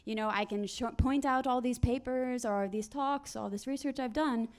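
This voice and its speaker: A woman's voice: on the high side, moderate pace. A woman speaking on a conference panel, telling work stories and acting out the people in them. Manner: animated storyteller acting out quoted people.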